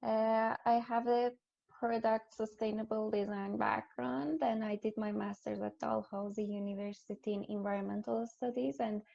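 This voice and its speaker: A woman introducing herself as a consultant on a video call, speaking in a measured way with many pauses. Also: fairly high pitch, fairly even intonation, creaky, mellow. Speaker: sustainability consultant. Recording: dull lo-fi video call audio.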